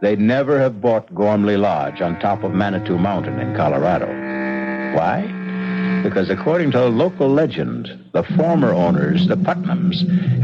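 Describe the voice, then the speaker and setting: A man hosting and narrating a radio mystery show in a dramatic, ominous manner. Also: on the low side. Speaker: host and narrator. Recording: dull lo-fi radio broadcast with music.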